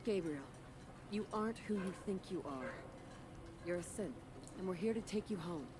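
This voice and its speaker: An actress playing a stern, resolute agent in a video game. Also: female voice, fairly low pitch, lively intonation, normal speed, natural voice. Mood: firm, matter-of-fact. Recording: noisy, distant game audio.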